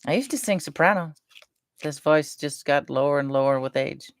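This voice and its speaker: A soft-spoken woman chatting casually and rambling between topics on a live stream. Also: sits low, moderate pace.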